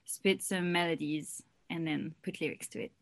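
A young woman chats loosely in an interview, filling her sentences with "like" and "you know". Her voice sits a little low for a woman. She sounds relaxed and unhurried, as a singer telling her own story. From France. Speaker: singer and songwriter guest. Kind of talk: casual interview chat.

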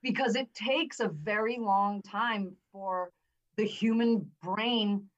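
A woman's voice: medium pitch, fairly even intonation, slow, far from the mic. Woman acting teacher over a dull call line. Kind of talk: explaining acting exercises.

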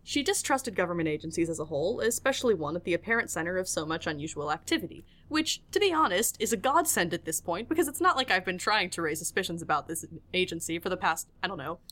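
A woman's voice: lowish voice, very animated, quick pace, few pauses, fairly bright tone. A woman narrating a tabletop audio drama as game master, reading scripted lines with playful asides.

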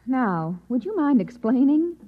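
An American actress playing a skeptical, weary housewife with dry, incredulous politeness in old-time radio drama style. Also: female voice, sits high, very animated, unhurried, exaggerated voice. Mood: puzzled. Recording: muffled vintage radio recording.

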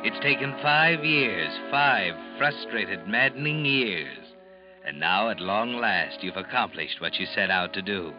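Low male suspense narrator, ironic and deliberate, addressing the heroine; muffled classic-radio sound. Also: lively intonation. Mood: knowing, ironic. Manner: deliberate, dramatic, knowing. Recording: dull old radio recording with music behind.

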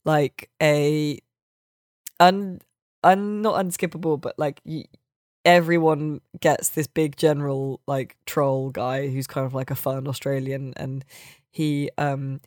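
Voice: mid-range voice, steady tone, slow, a little mellow, no echo. Dry-recorded voice, sweary casual games chat. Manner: sweary, wry and joking. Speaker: podcast host.

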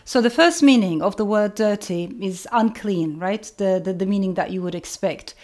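A middle-aged woman novelist, Turkish as her first language, speaking in a measured, earnest way, repeating phrases for emphasis. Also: mid-range voice, darkish tone. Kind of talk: reflective talk to camera.

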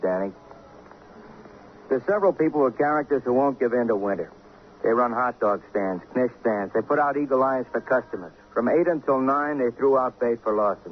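An actor playing a wry, philosophical, tired police sidekick in a colloquial 1950s radio crime drama style. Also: male voice, mid-range voice, natural voice. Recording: dull, faintly noisy old radio recording.

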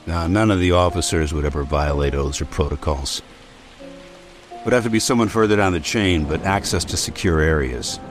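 A man as a deep, deadpan soldier. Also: normal speed, faint music behind, natural voice. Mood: gruff, serious. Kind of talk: old-time radio drama acting.

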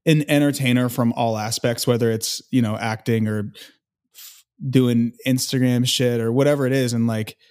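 A man hosting a loose, casual conversation who rambles through his thoughts. He leans on fillers like "like" and "dude". His pitch sits in an ordinary middle range. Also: fairly even intonation, normal speed, darkish tone. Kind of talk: casual chat.

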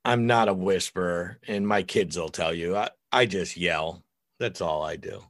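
A middle-aged American man with a deep voice, chatting casually and bluntly on a podcast as an opinionated, foul-mouthed guest. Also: normal speed, no room sound, clean studio sound. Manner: opinionated, blunt, crude joking. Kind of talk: casual, profane podcast chat.

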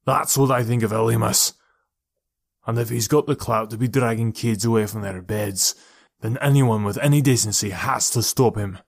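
Man doing a big, gruff, defiant man. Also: lowish voice, moderate pace. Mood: grave, contemptuous. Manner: grave, snarling, contemptuous. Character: big gruff ginger-haired man, fierce, defiant, soft-hearted. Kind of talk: audiobook character voice.

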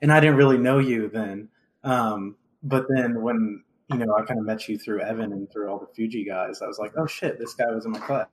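A man's voice: on the low side, brisk, a little hiss or hum, poor audio. A man hosting a podcast in an informal, chatty, conversational way. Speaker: podcast host.

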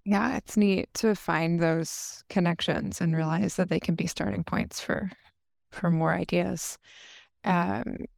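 A woman interviewer speaks in a lowish voice with noticeable fry. She asks prepared questions in a measured, articulate manner, with a close, dry sound. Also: darkish tone, no room sound. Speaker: interviewer, host.

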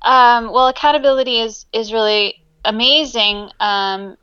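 A woman's voice: fairly high pitch, steady tone, unhurried, bright, forceful tone, clean tone, no echo, lo-fi sound. A woman musician being interviewed in a casual chat, speaking hesitantly with many ums and easy laughter. Her first language is Greek. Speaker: musician guest.

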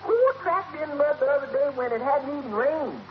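An actress playing a plain-spoken, emphatic American household cook in a 1940s radio comedy. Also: female voice, high voice, lively intonation, moderate pace. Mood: indignant, wry. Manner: folksy, emphatic, good-humored. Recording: muffled, noisy old radio recording.

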